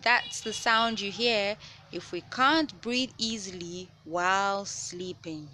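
Slow-paced woman reading to children. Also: mid-range voice, pushed and bright. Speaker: children's read-aloud host. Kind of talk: reading a children's science picture book aloud. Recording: noticeable background noise.

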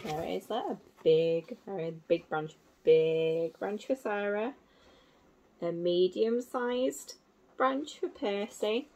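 A woman in her thirties reading a picture book to small children in simple, expressive phrases, adding little explanations as a teacher would. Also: medium pitch, slow. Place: small indoor room at home.

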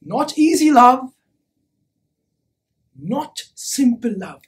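A high-pitched, impassioned preacher, acted by a woman on stage delivers a sermon-like dramatic monologue. The delivery is very slow and deliberate, with emphatic repetition and weighty pauses. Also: very animated, exaggerated voice. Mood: fervent, impassioned. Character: impassioned preacher urging a crowd to love. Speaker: actor performing a monologue.

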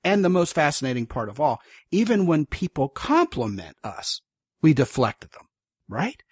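A man hosts a podcast interview, his voice husky and breathy, recorded dry and close with no room sound. He talks in long, wordy runs and stays animated as he sets up his questions. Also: fairly high pitch, moderate pace, dry recording. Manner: wordy, animated, drifts into acting out quotes. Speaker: podcast host. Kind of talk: conversational interview.

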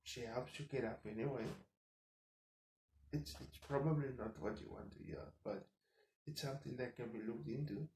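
A man in his thirties, a native Afrikaans speaker, rambles to camera in a hushed voice so he won't wake his household. His tone is mellow and dark, and the kitchen gives the recording a roomy echo over a faint hiss. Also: mid-range voice, moderate pace, long pauses. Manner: subdued, keeps voice down so as not to wake the household; rambling, thinking out loud with frequent fillers. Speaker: vlogger. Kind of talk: casual monologue to camera. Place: home kitchen, indoors.

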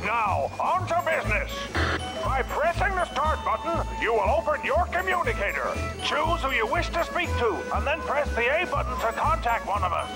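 A high-pitched, commanding hound-dog general in a video game. He briefs in clipped military fashion, stern and authoritative, with music clearly playing behind him. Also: very animated, moderate pace, full character voice, poor audio. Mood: businesslike.

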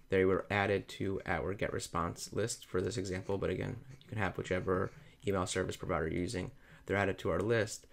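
Low gravelly man explaining a plugin. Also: a bit bright. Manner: plain step-by-step explaining, repeats "you're gonna". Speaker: software tutorial presenter. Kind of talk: screen-recorded walkthrough. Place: voice-over on a screen recording.

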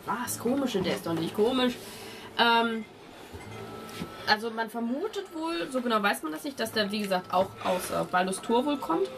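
Woman game master, kinda high voice, improvising the story, music playing behind her. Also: recorded from a distance. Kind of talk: improvised tabletop game narration.

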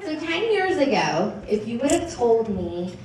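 A young woman with an American accent gives an informal, joking, halting wedding toast as maid of honor into a handheld microphone. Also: on the high side. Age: young adult. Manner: animated, joking, halting with pauses. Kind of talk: informal speech, partly read from notes. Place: indoor wedding reception room. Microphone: handheld PA microphone, filmed from across the table. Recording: echoey, distant, very noisy crowded hall. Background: laughing, noisy crowd.

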